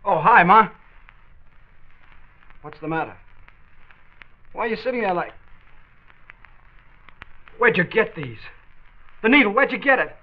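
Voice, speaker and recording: A man's voice: sits high, animated, measured pace, pauses often. An actor playing an anxious, hesitant teenage boy drawn into drug addiction in a 1940s radio drama. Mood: desperate. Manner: hesitant, uneasy. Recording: dull lo-fi old radio recording.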